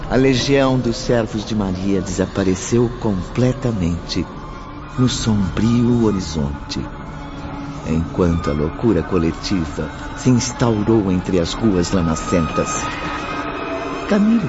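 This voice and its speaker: A man narrating a radio drama in a slow, solemn and dramatic manner. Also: sits low, animated. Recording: dull audio with music underneath.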